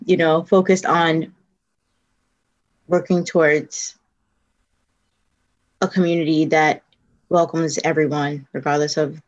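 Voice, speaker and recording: Middle-aged woman from the South Bronx, lower voice, earnest and halting interviewee. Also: darkish tone, muffled recording. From South Bronx, New York. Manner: earnest, halting, with frequent ums and self-corrections. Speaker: community organizer, interview guest. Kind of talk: conversational interview answers.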